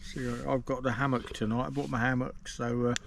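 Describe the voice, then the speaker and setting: A man's voice: medium pitch, little pitch movement, brisk, gravelly, slight background noise. An English man hosting a casual camping vlog outdoors in the woods, reviewing a drink and explaining at length with many restarts. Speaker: vlogger host.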